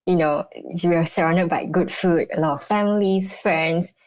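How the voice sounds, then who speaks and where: A young woman, a dietitian answering interview questions, bubbly and halting, with many restarts. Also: on the low side, darkish tone, no echo. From Malaysia. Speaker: guest, clinical dietitian.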